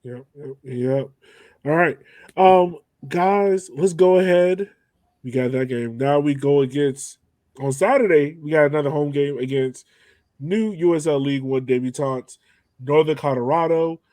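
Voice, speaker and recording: A man's voice: a little high, a little slow, darkish tone, dry recording. A man hosting a podcast, chatting casually about sport in an informal way. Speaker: podcast host.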